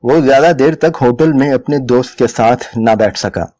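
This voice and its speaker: Mellow male dramatic story reader. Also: mid-range voice, moderate pace. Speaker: dramatic reader of short stories. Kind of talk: dramatic scripted story narration in Urdu.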